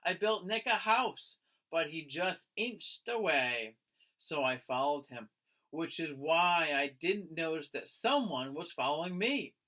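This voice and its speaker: Animated man reading aloud to children. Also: fairly high pitch, takes it slowly. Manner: expressive, friendly address to young listeners. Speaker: teacher reading a picture book aloud to children.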